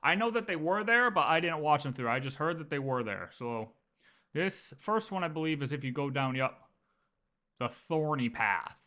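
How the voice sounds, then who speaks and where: A man's voice: on the high side, expressive, moderate pace, bright, forceful tone. A man giving casual live commentary as a game streamer, thinking aloud with emphatic points. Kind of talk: casual live playthrough commentary with lore theorizing.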